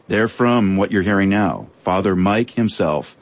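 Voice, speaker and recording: Deep-voiced male news presenter. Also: measured pace, crowd noise. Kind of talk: scripted news narration.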